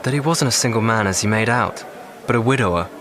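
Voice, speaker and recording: Young English private played by a man, educated, wordy and drily ironic, wartime radio drama, clear outdoor noise behind him. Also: on the low side, fast, crowd noise, close to an everyday voice. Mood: reflective. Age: young adult. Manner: formal, wordy, dryly ironic. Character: educated, articulate young English private in First World War. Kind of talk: audio drama acting.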